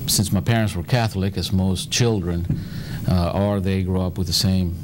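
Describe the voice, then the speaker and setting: Guy, low voice, lots of uhs, noisy background hiss. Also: flat delivery. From Spain, raised in Cuba. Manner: halting, many fillers and restarts. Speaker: guest. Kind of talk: personal chat on a TV talk show.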